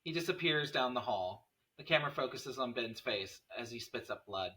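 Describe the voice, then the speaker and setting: A man narrates a screenplay at a table read. His mid-range voice is bright and crisp in tone, picked up a little way from the microphone. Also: mid-range voice, lively intonation, normal speed, recorded from a distance, poor audio. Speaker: narrator reading stage directions.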